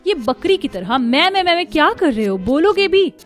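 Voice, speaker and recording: A woman's voice: very high-pitched, very animated, moderate pace, faint music behind, voice pushed up. A woman voicing a conceited, brash young college woman in a cartoon story, haughty and teasing. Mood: impatient. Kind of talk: Hindi cartoon story voice acting.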